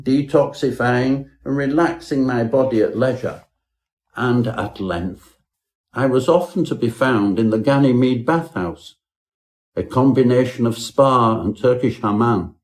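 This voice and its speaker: A man voicing a timid, whining gentleman antiquarian with meek, ornate Victorian phrasing in a parody ghost story. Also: mid-range voice, natural voice. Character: timid, trembling male athlete-antiquarian, whiny and florid.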